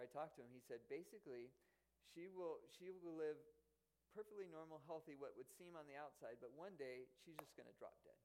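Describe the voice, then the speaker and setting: A man telling a personal story in a sermon, earnest and halting, with frequent restarts and fillers. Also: mid-range voice, a little mellow, rough recording.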